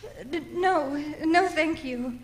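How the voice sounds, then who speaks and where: An actress playing a frantic, self-pitying, imperious invalid wife in a radio thriller, stammering, pleading and breaking into shrill outbursts. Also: female voice, slow pace. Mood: shaky, subdued. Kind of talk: old-time radio thriller acting.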